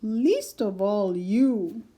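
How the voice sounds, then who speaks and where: A woman voicing a proud, strong male lion who speaks loudly and firmly. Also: medium pitch, lively intonation, very slow. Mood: despairing. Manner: louder, firm and proud.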